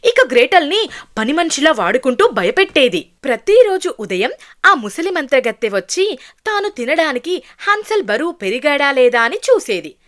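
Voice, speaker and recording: A woman's voice: high-pitched, very animated, normal speed, fairly bright tone. A woman narrating a scripted fairy tale for children as a storyteller.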